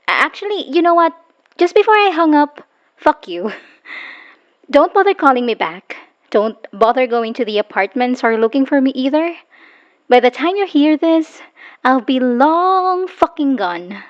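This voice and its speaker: Woman acting a bitter, high-voiced, fed-up ex-girlfriend. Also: expressive, unhurried, lo-fi sound. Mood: bitter, defiant. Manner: scornful, swearing, emphatic. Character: bitter, exhausted woman leaving an abusive obsessive partner. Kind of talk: dramatic audio roleplay monologue, spoken as a voicemail.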